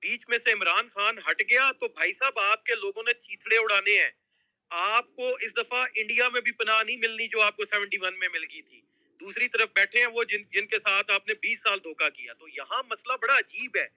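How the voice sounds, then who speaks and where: A man's voice: high voice, normal speed, bright, forceful tone, dry recording, low-quality recording. A man with a Pakistani accent giving an urgent, agitated political appeal in a group discussion, repeating himself to drive points home. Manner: agitated, exhorting, repetitive.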